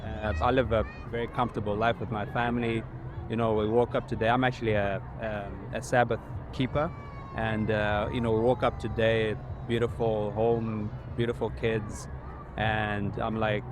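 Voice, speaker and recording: Man from Zimbabwe, lowish voice, earnest street interview, traffic noise behind. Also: little pitch movement. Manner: measured, earnest, frequent pauses and fillers. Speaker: rally attendee. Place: outdoor street rally.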